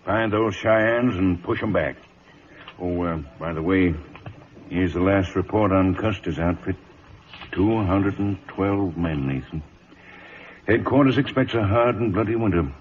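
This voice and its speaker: A man playing a weary, deliberate senior army major and fort commander in a radio drama. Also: deep voice. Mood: grave. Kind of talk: old-time radio drama acting. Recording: muffled, noisy old radio recording.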